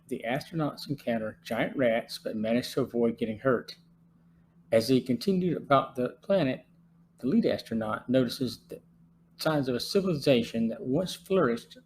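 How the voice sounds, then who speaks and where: A man's voice: medium pitch, darkish tone, slight background noise, low-quality recording. A man hosting a podcast, reading scripted narration and commentary. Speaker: podcast host.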